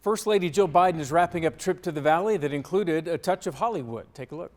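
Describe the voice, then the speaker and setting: A man's voice: on the high side, fast talker, heavy background noise. A man with an American accent reading scripted local news as a television anchor in a studio.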